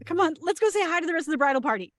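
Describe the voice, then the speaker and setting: A woman playing an excited, friendly bride-to-be in a comedy table read, eager and bubbly. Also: very high-pitched, racing, dry recording, studio quality, voice pushed up.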